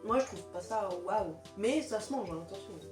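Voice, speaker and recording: A woman's voice: medium pitch, lively intonation, normal speed, echoey room, music in the background. A woman co-hosting a casual food tasting chat, teasing her partner and laughing often. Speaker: co-host of a food tasting channel.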